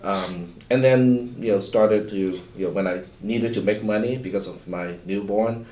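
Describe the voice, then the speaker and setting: Man with lowish voice, distant and echoey. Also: normal speed. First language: Cantonese. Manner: relaxed, rambling, heavy use of "you know". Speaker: college instructor. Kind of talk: panel discussion. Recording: roomy echo, far from mic.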